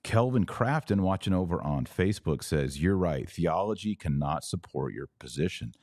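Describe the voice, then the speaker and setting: A man's voice: sits low, lively intonation, normal speed, a little mellow, no echo, studio quality. An American man hosting a podcast, explaining religion informally and emphatically, posing rhetorical questions and answering them himself. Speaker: podcast host answering viewer comments.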